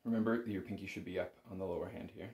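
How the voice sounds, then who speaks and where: A man's voice: deep voice, steady tone, very fast. A man giving a casual, informal music lesson as an instructor, thinking aloud as he goes. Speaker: music instructor, bagpipe teacher. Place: small home room with shelves, indoors. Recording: roomy, echoing home recording.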